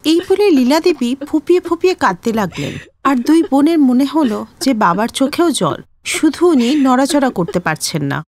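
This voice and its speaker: A woman tells a scripted cartoon moral story. Her voice is high and swings widely in pitch, recorded very dry and close. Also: very animated, moderate pace, a little mellow, no echo. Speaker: story narrator.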